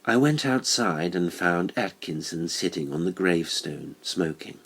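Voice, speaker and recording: A man narrates a horror audiobook. His voice is deep and grainy, and he reads very slowly. The delivery is deliberate and dramatic, with every phrase carefully measured. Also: fairly bright tone, husky.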